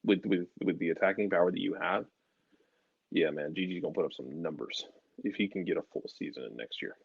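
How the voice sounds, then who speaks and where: A man's voice: sits low, brisk, gravelly, slightly rough, no echo, dull audio. A man co-hosting a sports show, giving casual analysis and often repeating himself as he thinks.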